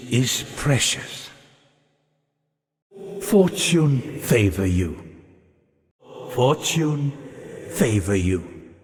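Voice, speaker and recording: Slow, swooping undead scholar. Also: male voice, mid-range voice. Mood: gentle, courteous. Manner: formal, ornate, halting and earnest. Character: courteous, lonely undead male scholar, bookish and melancholy. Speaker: non-player character in a fantasy video game. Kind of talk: theatrical video game character acting. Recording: long reverberant hall, background hiss and ambience.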